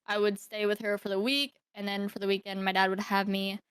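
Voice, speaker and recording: A woman's voice: mid-range voice, little pitch movement, a little fast, a bit bright, clean tone, no echo. A young woman from Thailand telling her life story as a podcast guest, hesitant, with many fillers and restarts. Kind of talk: casual interview storytelling.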